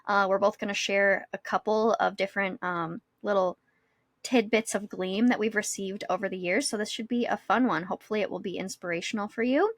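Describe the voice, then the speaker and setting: A woman's voice: medium pitch, fairly even intonation, a little fast, dry recording. A young adult woman chatting casually as a podcast co-host.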